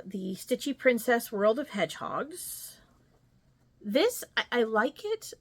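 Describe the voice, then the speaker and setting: Slow-paced woman chatting casually. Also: fairly high pitch, fairly bright tone. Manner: rambling, thinking aloud, trailing tag questions. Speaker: craft vlogger. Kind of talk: casual chat, showing stitching projects.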